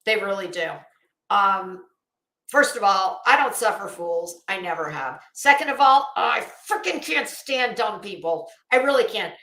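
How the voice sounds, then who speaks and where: A woman's voice: mid-range voice, lively intonation, measured pace, bright, forceful tone, echoey room, low-quality recording. A woman hosting an opinionated livestream, speaking to viewers in a blunt, scornful, emphatic manner and repeating phrases for weight. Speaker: livestream host.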